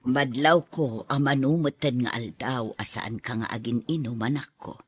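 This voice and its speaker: A woman's voice: a bass voice, normal speed, slightly rough, no echo. A woman playing a caring, long-suffering mother of a grown daughter in a radio drama. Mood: relieved, pleading. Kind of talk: radio drama acting in dialogue.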